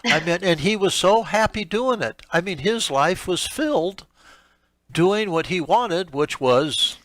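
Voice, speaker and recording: A man's voice: sits high, expressive, unhurried, background noise. A man on a talk show panel telling an anecdote casually, acting out the dialogue.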